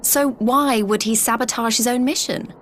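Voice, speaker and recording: Actress as an earnest young heroine, higher voice. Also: female voice, steady tone, moderate pace, fairly bright tone, slight background noise, natural voice. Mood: concerned, earnest. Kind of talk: video game cutscene voice acting.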